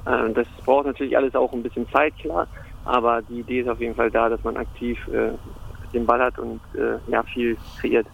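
A young man with a gravelly voice talks in a flat, even line. He answers interview questions about football, hesitating often and filling gaps with fillers. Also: mid-range voice, a little fast, narrow, tinny audio, slight background noise. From Germany (Hamburg). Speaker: football player.

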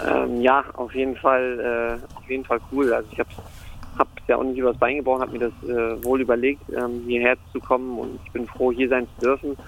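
A young man with a gravelly voice talks in a flat, even line. He answers interview questions about football, hesitating often and filling gaps with fillers. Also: mid-range voice, brisk, a little hiss or hum, poor audio. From Germany (Hamburg). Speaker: football player.

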